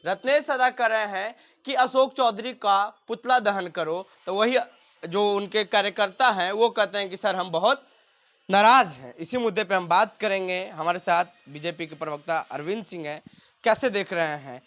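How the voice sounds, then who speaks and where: High-pitched man conducting a political interview. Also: normal speed, fairly bright tone. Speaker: interviewer, news reporter.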